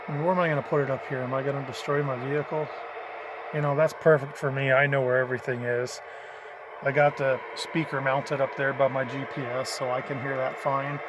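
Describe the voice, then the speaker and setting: A middle-aged man giving a casual hands-on demonstration in a folksy, informal way, thinking out loud as he goes. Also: medium pitch. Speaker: hobbyist vlogger demonstrating a CB radio. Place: in or beside a parked vehicle. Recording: clearly noisy handheld amateur recording.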